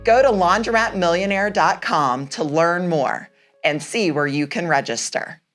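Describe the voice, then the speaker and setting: Slightly low-voiced female podcast host. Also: measured pace, a bit bright, soft background music. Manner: friendly, affirming. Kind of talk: casual interview hosting.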